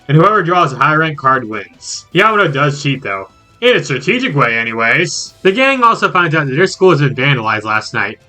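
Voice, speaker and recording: Animated, bright-voiced male narrator. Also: a little high. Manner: animated, sarcastic, casual. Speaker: video game reviewer. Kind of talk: scripted recap narration with joking asides.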